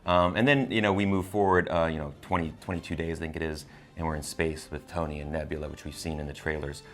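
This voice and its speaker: A man's voice: a bass voice, steady tone, fast, a bit bright. A man co-hosting a casual film review, talking in a hesitant way and often repeating words as he goes. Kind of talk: casual spoiler review chat.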